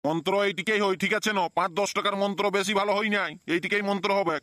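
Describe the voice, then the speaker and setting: A man's voice: sits high, fairly even intonation, rapid-fire, sharp tone, no echo, clean studio sound. A man voicing a lazy, shameless village priest in a comedy cartoon, droning matter-of-factly with a Purulia Bengali accent. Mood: dismissive. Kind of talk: comedy cartoon dialogue with mock chanting.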